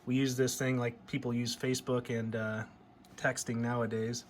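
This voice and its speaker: A man's voice: medium pitch, flat delivery, normal speed, a little hiss or hum. A middle-aged American man chatting casually and nostalgically, in a rambling show-and-tell style. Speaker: hobbyist vlogger showing a CB radio.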